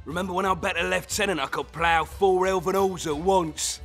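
A hard-drinking, boastful soldier, in a fantasy game. His speech is rough and colloquial, pitched a little high, over faint music. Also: fairly even intonation, moderate pace, a bit bright. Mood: boastful, nostalgic. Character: bragging, hard-drinking veteran male soldier. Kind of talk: video game voice acting.

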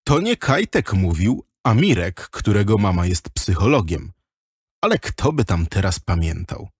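A man's voice: on the low side, sing-song, a little slow, a little mellow, slightly rough, no echo, clean studio sound. A man narrating a children's audio drama in a scripted storybook style.

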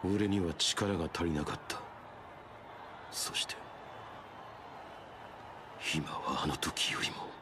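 A man's voice: low voice, slow, pauses often, noisy background. A man playing a brooding, resolute young fighter in a video game, subdued and earnest. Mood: brooding resolve. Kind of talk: dramatic Japanese video game acting.

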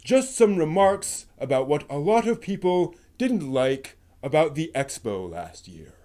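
A man voicing an indignant puppet fan, declaiming slowly and emphatically in a comic dramatic reading. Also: fairly high pitch, sing-song, dark tone. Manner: slow, emphatic, aggrieved declaiming.

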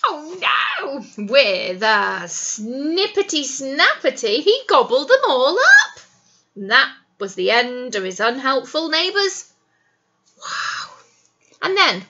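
A woman's voice: fairly high pitch, pushed and bright, lo-fi sound. A middle-aged woman, a teacher reading a picture book expressively to young children and coaxing them with questions. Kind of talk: animated storytime read-aloud for young children. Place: small classroom, indoors.

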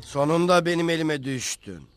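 A man voicing a resentful young older brother in an animated film dub, taunting and scheming. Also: a little high, moderate pace, faint music behind, close to an everyday voice. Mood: menacing.

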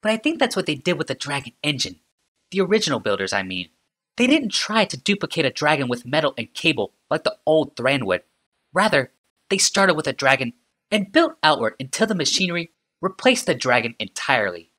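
A man voicing a sardonic female inventor in an audiobook, calm and mocking in tone. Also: on the high side, fairly quick, voice pushed up, exaggerated voice. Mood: musing. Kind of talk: audiobook character reading.

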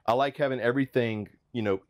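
Upbeat man giving an RV walkthrough. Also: fast talker. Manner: upbeat, conversational salesman pitch. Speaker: RV sales presenter. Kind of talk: walkthrough product review. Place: inside a travel trailer, small room. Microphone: lapel mic.

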